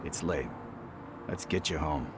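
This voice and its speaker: Game casting: scruffy wisecracking informant, deep voice, unhurried streetwise delivery, music underneath. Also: male voice, background noise. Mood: gruff concern. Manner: loose, casual, streetwise.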